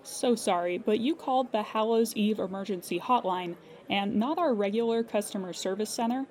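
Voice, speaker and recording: Woman playing a calm, patient hotline operator. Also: medium pitch, moderate pace, lightly acted. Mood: calm, polite. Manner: calm customer-service politeness with dry exasperation. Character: weary, patient female emergency hotline operator. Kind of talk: audio comedy drama acting.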